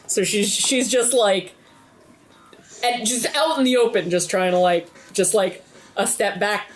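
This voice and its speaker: A woman playing a tabletop game, describing her character's moves in casual, halting, self-correcting talk. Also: mid-range voice, faint music behind.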